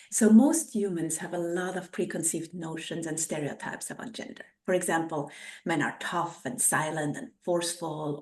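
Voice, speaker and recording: Low-voiced woman podcast host, roomy echo. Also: a little mellow. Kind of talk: scripted introduction, then casual conversation. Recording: roomy echo, distant from mic.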